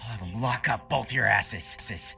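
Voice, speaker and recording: Casting: brash game hostage, low forceful voice, volatile, agitated, music underneath. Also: female voice, moderate pace, gravelly, exaggerated voice. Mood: furious. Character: brash foul-mouthed captive woman, angry then cowardly. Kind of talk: video game dialogue. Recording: faint music behind.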